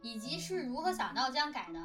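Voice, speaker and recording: A woman's voice: sits high, sing-song, fast talker. A woman chatting casually and animatedly about her work, rambling a little in a self-deprecating way. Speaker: radio drama director and discussion host. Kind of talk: casual chat about making audio dramas. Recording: slightly distant mic, music behind. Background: music.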